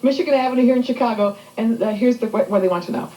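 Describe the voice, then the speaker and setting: A woman's voice: on the high side, racing, reverberant, heavy background noise. A woman hosting a talk show in a studio with an audience, asking eager questions and stumbling over words. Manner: lively, eager questions, stammers.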